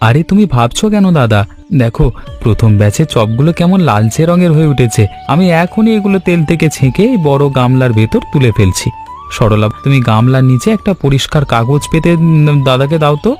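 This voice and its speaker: পুরুষ কণ্ঠে ভরসা দেওয়া গ্রাম্য বন্ধু, মাঝারি গলা. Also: male voice, medium pitch, animated, fairly quick, a little mellow, soft background music, close to an everyday voice. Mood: reassuring. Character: agreeable, reassuring village friend who fries snacks. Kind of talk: Bengali animated moral story dialogue.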